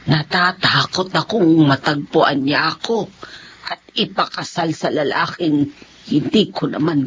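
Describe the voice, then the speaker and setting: A woman playing a tender, emotional long-lost older sister in a Filipino radio drama, with a sentimental, dramatic way of speaking. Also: low-pitched. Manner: slow, sentimental, dramatic storytelling. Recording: dull radio sound with background noise.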